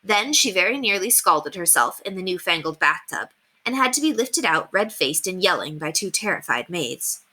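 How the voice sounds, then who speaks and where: Animated, bright-voiced woman reading a storybook aloud. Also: fairly low pitch, slightly rough. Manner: animated, deliberate emphasis on key words. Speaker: teacher reading a children's novel aloud. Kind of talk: expressive storybook read-aloud narration.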